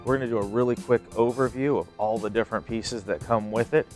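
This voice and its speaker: A man's voice: mid-range voice, steady tone, quick pace, music in the background. A man in his thirties giving plain, step-by-step how-to instructions as an equipment demonstrator.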